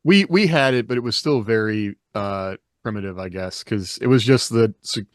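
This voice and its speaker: A man with a fairly low voice chats casually as a podcast co-host. The sound is very dry, free of any room echo. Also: normal speed. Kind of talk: casual chat.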